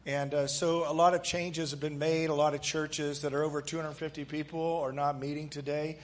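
A hoarse male pastor welcomes his church in a loose, filler-laden way. His voice sits slightly higher than most men's. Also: flat delivery, moderate pace. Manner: casual, with frequent fillers. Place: church sanctuary.

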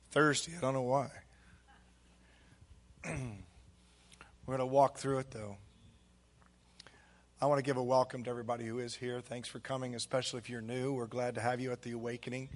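A hoarse man speaking as a pastor, giving casual church announcements with frequent fillers. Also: mid-range voice, level tone, brisk, pauses often. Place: church sanctuary.

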